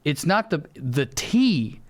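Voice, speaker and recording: A man's voice: a little high, sing-song, slow. A man with a Southern US accent co-hosting a casual comedy podcast, teasing and sardonic. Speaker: podcast co-host.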